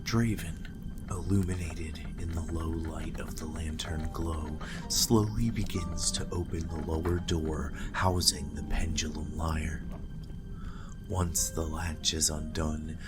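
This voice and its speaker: A man narrating scripted dark fantasy horror as a game master, with deliberate, ornate dramatic delivery. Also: very deep voice, steady tone. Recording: music behind the voice.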